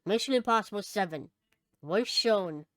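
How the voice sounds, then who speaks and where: A woman co-hosting a casual podcast, chatting and reading out news items, somewhat halting when she reads aloud. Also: mid-range voice, expressive, dry recording, clean studio sound. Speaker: podcast co-host.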